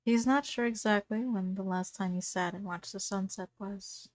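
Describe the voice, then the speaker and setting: A woman's voice: medium pitch, moderate pace, a little mellow, no room sound. A woman reading a story aloud informally to friends, giggly and ready to break off and react. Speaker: fan fiction reader.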